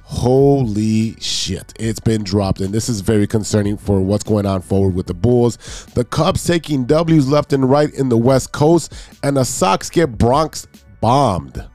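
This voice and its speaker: A man's voice: mid-range voice, animated, a little slow, a little mellow, no room sound, faint music behind. A man hosting a casual sports podcast, sounding stuffed up and nasal from allergies, rambling and correcting himself as he gets hyped. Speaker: podcast host.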